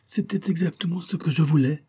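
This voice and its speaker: A man's voice: medium pitch, animated, moderate pace, dark tone, slightly rough, pitched above the performer's own voice. A man voicing a stubborn, nagging tourist wife in a plaintive, exclamatory manner. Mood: stubborn, irritated.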